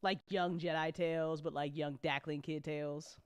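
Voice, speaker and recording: A woman chatting casually and unscripted on an after-show, telling stories in a rambling, animated way with slangy swearing. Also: low voice, normal speed, clear, resonant tone, no room sound. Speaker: audio drama creator chatting on a live after-show.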